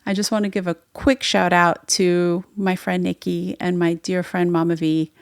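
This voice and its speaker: A woman's voice: fairly low pitch, flat delivery, moderate pace, no room sound, clean studio sound. A woman whose first language is Farsi, hosting her own podcast and telling her story in an earnest, reflective way. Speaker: podcast host and midwife. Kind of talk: solo podcast monologue.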